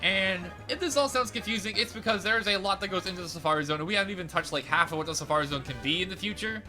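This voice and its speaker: A young man chatters through a game playthrough at a rapid clip, his voice pitched a bit high and edged with a slight rasp. He rambles and corrects himself as he goes. Faint game music plays underneath. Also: pushed and bright. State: slightly raspy, crackly voice. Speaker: let's play host. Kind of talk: casual game commentary.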